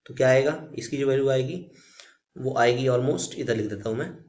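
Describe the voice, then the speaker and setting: A man's voice: mid-range voice, normal speed, a little mellow. A man with an Indian accent teaching a physics solution step by step, mixing in English technical terms and often checking in with short tag questions. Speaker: physics tutor.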